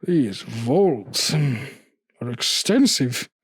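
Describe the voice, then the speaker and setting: Man as a husky, falsely courteous scheming nobleman. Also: mid-range voice, big pitch swings, no room sound, clean studio sound, heavily acted. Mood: sly politeness. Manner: formal, solicitous, measured. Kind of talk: gothic horror audio storytelling.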